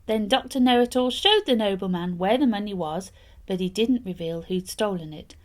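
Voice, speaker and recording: A woman reading a fairytale aloud as an expressive storyteller. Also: mid-range voice, moderate pace.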